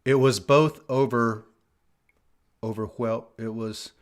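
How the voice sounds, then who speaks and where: A man's voice: mid-range voice, fairly even intonation, takes it slowly, crowd noise. A man co-hosting a podcast in casual, rambling banter, often repeating himself as he goes. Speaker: podcast co-host.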